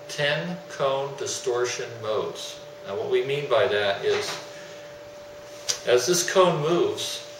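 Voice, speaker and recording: A man giving an explanatory technical presentation in a deliberate, didactic way, stressing his key terms. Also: mid-range voice. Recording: roomy, distant mic, faint hum.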